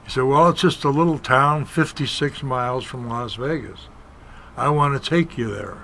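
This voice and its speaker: An older man raised in Kentucky tells stories as a guest, his voice at an ordinary middle pitch. He speaks plainly and without hurry, quoting people as he goes, with faint noise behind him. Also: vocal fry, fairly bright tone. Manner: unhurried, plain storyteller, acts out quoted dialogue. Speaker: guest, former stuntman and filmmaker. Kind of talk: storytelling in an interview.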